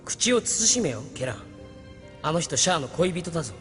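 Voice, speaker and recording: High-voiced eager young pilot. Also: male voice, sing-song, moderate pace. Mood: defensive. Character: eager, dutiful young male mecha pilot, touchy. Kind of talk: Japanese sci-fi anime audio drama acting. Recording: reverberant, with music behind.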